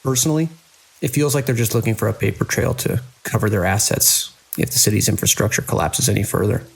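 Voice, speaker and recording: Man playing a husky, gravelly, formal hospital director. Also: fairly low pitch, low-quality recording. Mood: formal, wry. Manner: measured, formal, wry. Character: weary, formal hospital director and surgeon dictating a log. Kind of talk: scripted audio drama narration.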